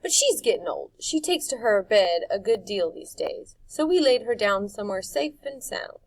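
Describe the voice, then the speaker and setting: A woman's voice: mid-range voice, big pitch swings, normal speed, vocal fry, pitched above the performer's own voice, rough recording. A woman reading a storybook aloud, voicing a sly, oily man who hides his spite behind a wheedling charm. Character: grinning, oily, menacing male house-keeper.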